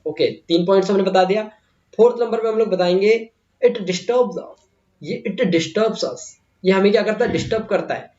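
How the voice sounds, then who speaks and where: A man's voice: high-pitched, a little mellow. A man with an Indian accent giving a step-by-step English exam lesson as a teacher, repeating phrases and asking himself questions as he instructs. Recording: muffled, echoey room.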